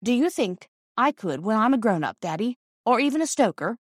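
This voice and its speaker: A woman narrator puts on a kind, earnest storybook schoolgirl, the eldest sister. The voice is lifted high, with a pleading, sincere way of talking. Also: sing-song, fairly quick, dry recording, studio quality. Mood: eager.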